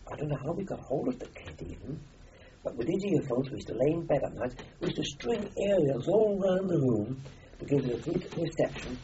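An older man from Austria, a native German speaker, recalls his youth in an interview with a warm, mellow tone. His pitch rises and falls in a lively way as he meanders through the story with fillers and restarts. The recording is dull and lo-fi, with a faint hiss underneath. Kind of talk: oral history reminiscence.

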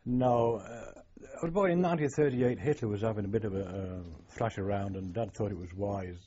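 A man's voice: on the low side, a little fast, dark tone. An older man, a former sailor, thoughtfully recalling his childhood and navy days in an oral history interview. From England. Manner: reflective, storytelling, wry. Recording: dull lo-fi archive tape.

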